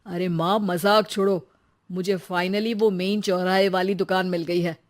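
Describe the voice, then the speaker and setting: A woman voicing a cheerful young husband and son in an animated cartoon. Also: fairly low pitch, unhurried, natural voice. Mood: excited.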